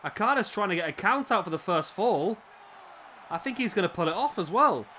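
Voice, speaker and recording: A man with a slightly raspy voice, commentating a wrestling video game play-by-play, excitable at big moves and chatty in asides. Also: high-pitched, a little fast, noisy background.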